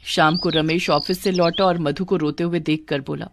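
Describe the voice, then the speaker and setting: A woman narrating a children's cartoon story in a scripted, expressive way. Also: low-pitched, normal speed, slight background noise.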